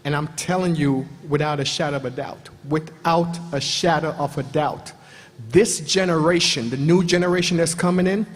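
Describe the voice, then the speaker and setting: Emphatic husky man in a reverberant hall. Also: fairly high pitch. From Jamaica. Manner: emphatic, repeating phrases for effect. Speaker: panelist. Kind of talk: passionate motivational panel talk.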